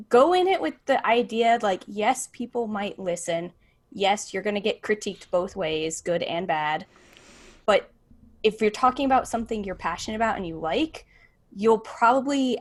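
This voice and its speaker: A woman speaks on a live podcasting panel. Her voice is medium in pitch. She gives advice casually, in an informal conversational style.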